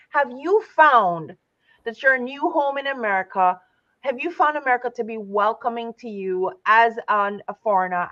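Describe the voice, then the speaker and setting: A woman interviewer asking measured, encouraging questions over a video call. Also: on the high side, a bit bright, dry recording. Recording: dry, slightly dull audio.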